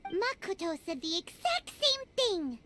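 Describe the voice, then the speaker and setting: An actress voicing a tiny, childlike, excitable fairy companion in a video game, with a squeaky, bubbly, exclamatory delivery. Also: female voice, slow, noisy background, exaggerated voice. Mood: amazed, excited. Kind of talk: scripted video game cutscene acting. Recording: studio voice over prominent game music.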